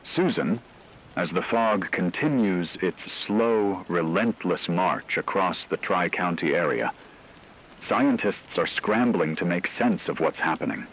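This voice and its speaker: Guy acting as a serious radio anchor, really low voice, measured and formal, rain noise behind him. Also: animated, rough recording. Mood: grave, ominous. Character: grave male radio news anchor reporting a mysterious apocalyptic fog. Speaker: news anchor. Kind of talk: scripted radio news bulletin.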